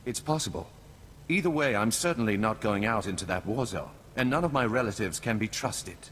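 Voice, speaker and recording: An actor playing the suave, commanding head of a noble house in a video game, formal and measured. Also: male voice, lowish voice, expressive, a bit bright, slight background noise. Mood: composed, businesslike. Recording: background music under the voice.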